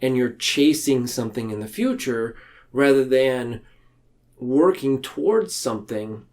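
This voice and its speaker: A man speaking as a podcast guest in a reflective, conversational way, earnest and halting, tending to ramble. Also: mid-range voice, a little mellow. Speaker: podcast guest, mental health counselor. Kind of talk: reflective conversational interview talk.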